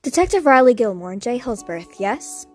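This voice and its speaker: A woman playing a formal, courteous queen in an amateur animated movie. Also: a little high, normal speed, soft background music.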